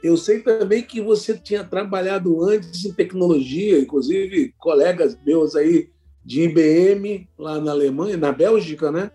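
High, mellow Brazilian host. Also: male voice, a little slow, faint music behind. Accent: Brazilian Portuguese. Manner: enthusiastic, effusive praise. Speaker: podcast host. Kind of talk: conversational interview.

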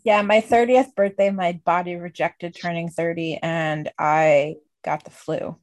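A woman in her late thirties co-hosting a podcast, relaxed and laughing at herself. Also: on the low side, unhurried, no room sound. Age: 30s. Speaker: podcast co-host. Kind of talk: casual chat.

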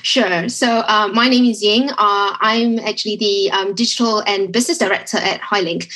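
A woman's voice: mid-range voice, fairly even intonation, normal speed, fairly bright tone, rough recording. A woman with a Singaporean accent, speaking as an expert podcast guest in a conversational, explanatory style. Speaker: podcast guest, digital agency director.

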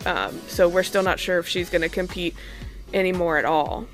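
A woman's voice: fairly low pitch, moderate pace. Casual woman podcaster, music underneath. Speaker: podcast co-host reading news segment. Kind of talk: casual, ad-libbed news recap.

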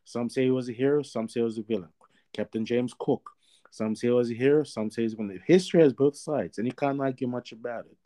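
A man's voice: medium pitch, quick pace, warm tone. A man in his thirties from Australia, a guest in a casual interview, talking in a rambling way with many fillers and restarts. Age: 30s.

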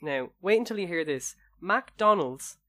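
A young Irish man co-hosting a podcast, telling anecdotes in an upbeat, excitable way during casual chat. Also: sits high, unhurried. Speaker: podcast co-host.

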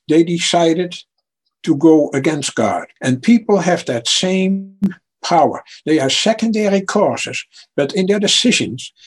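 A man with a Dutch accent speaks as an interview guest in a slightly raised pitch. His voice is husky and breathy at the edges. He is earnest and often stumbles over the first words of an answer before going on. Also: a little mellow. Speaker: guest author.

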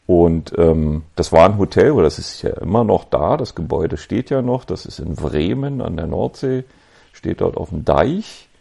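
A man in his fifties from Berlin with a Berlin accent, telling his story as a podcast guest in an easygoing, reflective way with frequent pauses. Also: sits low, creaky, husky. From West Berlin, Germany. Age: 50s. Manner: relaxed, reflective, with many ums and pauses. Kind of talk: casual conversational storytelling.

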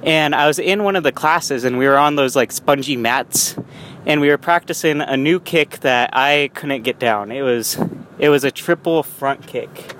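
A man's voice: mid-range voice, normal speed, fairly bright tone. A young man talking casually while driving, rambling with frequent restarts and small laughs. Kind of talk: casual vlog monologue. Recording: faint road noise.

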